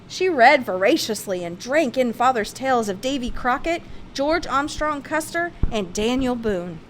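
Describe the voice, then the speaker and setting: A woman reads a picture book aloud in a fairly high voice. Her phrasing is expressive and emphatic, suited to children's narration. Faint noise sits behind her in the recording. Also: normal speed.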